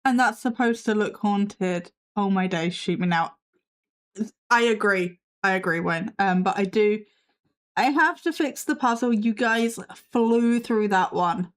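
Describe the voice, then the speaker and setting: A woman's voice: mid-range voice, unhurried, clear, resonant tone. A woman hosting a live stream, reacting with sassy, skeptical commentary while losing her voice. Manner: sassy, skeptical, swears casually. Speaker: livestream host reacting to videos.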